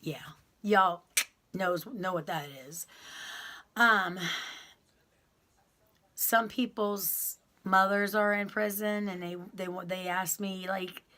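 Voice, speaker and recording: A woman, talking slowly to her viewers. She rambles and restarts often, with plenty of ums. Her voice is loud and carries. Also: medium pitch, fairly even intonation. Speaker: YouTuber telling her prison story. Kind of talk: casual storytelling to viewers.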